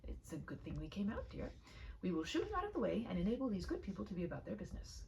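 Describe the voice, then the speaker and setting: A woman's voice: medium pitch, huge pitch swings, quick pace, gravelly, darkish tone, recorded from a distance, a little hiss or hum. A woman voicing an earnest, courteous shopkeeper husband who talks in a stiffly formal, worried way for a storybook. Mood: resolute.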